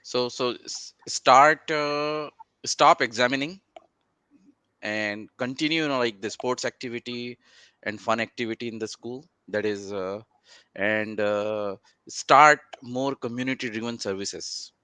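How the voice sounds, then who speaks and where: A man answering in an unscripted panel discussion in a halting way. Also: medium pitch, bright, forceful tone, clear, resonant tone, dry recording.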